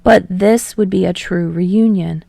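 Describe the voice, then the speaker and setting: A woman's voice: lowish voice, a little slow, gravelly, mellow, no room sound, clean studio sound. A woman reading fiction aloud as an audiobook narrator in a scripted storytelling style.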